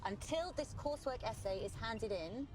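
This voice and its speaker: An actress playing a strict worried mum. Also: female voice, on the high side, animated, normal speed, darkish tone, close to an everyday voice. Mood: stern. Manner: firm, laying down rules. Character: strict, worried middle-aged mother. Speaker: mother in a TV drama. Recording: TV drama clip with music behind.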